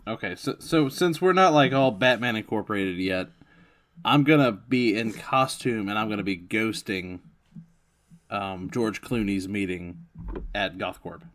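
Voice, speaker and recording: A man's voice: on the low side, unhurried, fairly bright tone. A man talking casually as a player in a tabletop role-playing game.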